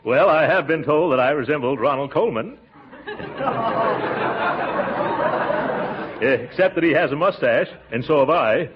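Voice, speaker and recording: A flustered, pompous radio uncle, animated. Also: male voice, a little high, measured pace, pauses often, over a noisy crowd, heavy background noise. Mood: bashful, smitten. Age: middle-aged. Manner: stammering, chuckling bluster. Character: pompous, bashful, easily flustered middle-aged uncle. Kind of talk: old-time radio situation comedy acting. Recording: muffled old radio show, loud studio audience.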